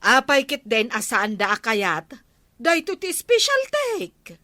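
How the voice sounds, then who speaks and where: A woman's voice: fairly high pitch, huge pitch swings, takes it slowly, bright, forceful tone, dry recording, heavily acted. A woman with an Ilocano accent playing a pert villager in a radio comedy drama, questioning and indignant.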